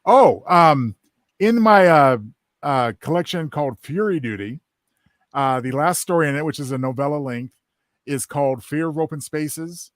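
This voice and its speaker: A man talking casually as a panelist in a relaxed group discussion. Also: on the high side, moderate pace, no echo, clean studio sound. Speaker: panelist and fiction writer.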